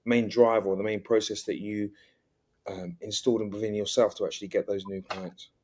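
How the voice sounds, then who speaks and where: A man's voice: fairly low pitch, flat delivery, a little fast, a little mellow. Male interviewer, rephrasing as he goes. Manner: rambling, rephrases questions. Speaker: interview host. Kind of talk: interview questions.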